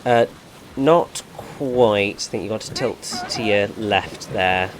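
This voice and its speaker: A man with a naturally dry, deadpan voice, giving directions and chatting over a game. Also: lowish voice, slow pace, noisy background. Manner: guiding, precise, rambling over-explainer. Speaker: co-commentator. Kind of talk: casual let's-play chat.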